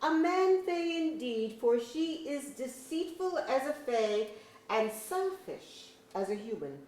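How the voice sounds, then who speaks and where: A woman playing a scornful woodland fairy in a staged verse drama, sneering in deliberate archaic phrases. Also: high-pitched, very animated, darkish tone, slight background noise, full character voice. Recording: echoey auditorium.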